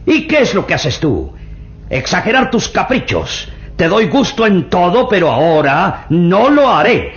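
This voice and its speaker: A man's voice: high voice, wildly swooping pitch, unhurried, fairly bright tone, lo-fi sound, exaggerated voice. An actor playing a stressed, blustering middle-aged tycoon refusing his daughter. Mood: exasperated. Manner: exasperated, blustering. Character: stressed rich old male tycoon, blustering father.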